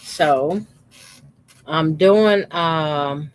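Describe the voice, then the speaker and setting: A man in his fifties, a quilting channel host, calmly talking through a how-to demonstration at his sewing machine with his voice deliberately kept low. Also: a little hiss or hum, low-quality recording. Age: 50s. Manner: talks unhurriedly while sewing, deliberately keeping his voice lowered.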